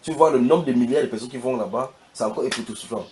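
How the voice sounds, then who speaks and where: A man hosting a religious talk, speaking fast and emphatically as he questions his guest and repeats his words. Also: mid-range voice, very noisy. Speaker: host, preacher.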